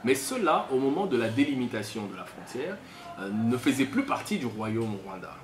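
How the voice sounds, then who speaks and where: A man's voice: mid-range voice, sing-song, moderate pace. A man with a Congolese accent gives an unscripted explanatory talk, teaching step by step and often repeating himself. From DR Congo, Kasai region. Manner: didactic, step-by-step with repetition. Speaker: commentator on history. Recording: echoey room, faint background noise.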